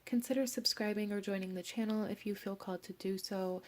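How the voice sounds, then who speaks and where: A young woman, hoarse from a cold, giving a gentle pick-a-card tarot reading and slipping into soft whispers. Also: mid-range voice, fairly even intonation, fairly quick. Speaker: tarot reader.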